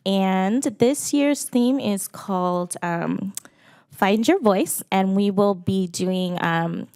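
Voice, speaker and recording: A woman's voice: medium pitch, darkish tone, smooth, dry recording. A woman library supervisor with a scratchy voice, giving a report at a public meeting with frequent ums.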